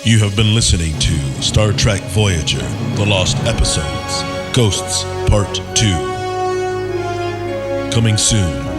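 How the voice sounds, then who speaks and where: A man reading a scripted closing announcement for an audio drama. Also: lowish voice. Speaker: credits announcer. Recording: loud background music under voice.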